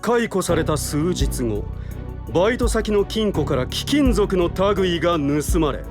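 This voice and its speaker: Stern male prosecutor, formal and commanding, fairly high voice, animated intonation, audio drama. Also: unhurried, music in the background. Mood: accusing. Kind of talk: Japanese audio drama voice acting.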